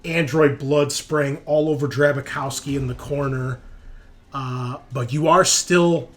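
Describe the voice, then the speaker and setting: A man running a tabletop game as game master, narrating casually and excitably with informal asides. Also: mid-range voice, a little slow. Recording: music behind the voice. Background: background music.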